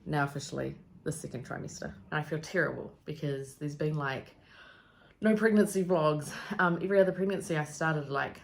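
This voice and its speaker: A woman's voice: sits low, a little fast, vocal fry. A woman in her thirties from New Zealand with a New Zealand accent, talking casually to camera in a rambling vlog full of ums and likes. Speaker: vlogger.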